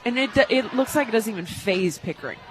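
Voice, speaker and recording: An American woman in her twenties giving conversational, explanatory color commentary on a live radio softball broadcast from a stadium booth. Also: a little high, lively intonation, a little fast, a crowd in the background, poor audio. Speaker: color analyst, former college player.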